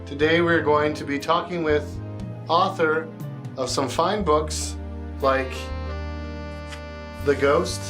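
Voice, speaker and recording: Guy hosting a comedy talk show, kinda halting and reassuring, music going behind him. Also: a little high, fairly even intonation. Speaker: comedy interview show host. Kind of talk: mock talk-show interview, improvised.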